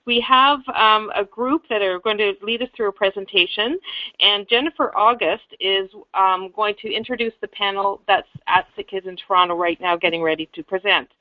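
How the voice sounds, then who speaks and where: A woman's voice: medium pitch, fairly even intonation, moderate pace, no room sound. A woman hosting a webinar, introducing speakers in a hesitant way with many fillers and restarts. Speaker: webinar host. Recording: dull lo-fi webinar audio.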